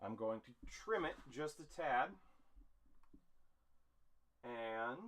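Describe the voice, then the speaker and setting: A man's voice: medium pitch, slow pace, fairly bright tone. A man chatting casually to camera in an easygoing, self-deprecating, rambling way as an amateur voice actor.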